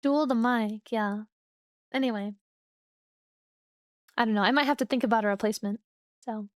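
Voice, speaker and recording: A high-pitched young woman talking to her stream in a relaxed, chatty way, laughing easily between thoughts. The sound is close and dry, with no room around it. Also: normal speed, dry recording. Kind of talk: casual chat with stream viewers. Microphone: studio microphone.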